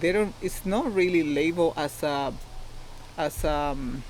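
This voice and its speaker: A woman's voice: low voice, measured pace, darkish tone, poor audio. A woman with a Costa Rican Spanish accent speaks as an interview guest. She explains her own experience candidly in a conversational way, with slight noise in the background. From Costa Rica. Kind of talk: personal storytelling in casual interview.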